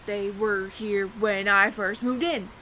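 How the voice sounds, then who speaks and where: A woman's voice: mid-range voice, steady tone, takes it slowly, bright, forceful tone, a little hiss or hum. A woman playing a nervous, evasive alien roommate in an audio roleplay, stammering and over-explaining to the listener. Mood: evasive, improvising.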